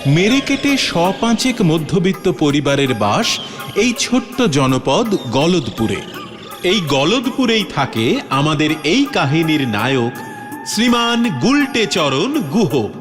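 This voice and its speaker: A man narrates a story theatrically in a high, lively voice. He goes slowly, in long echoing reverb with music behind him.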